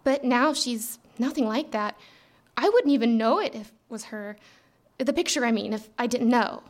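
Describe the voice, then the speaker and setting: Very high-voiced gossipy 1950s secretary played by an actress. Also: female voice, moderate pace, lightly acted. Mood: conspiratorial. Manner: chatty, gossipy. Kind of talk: live radio drama acting. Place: live theater stage radio show.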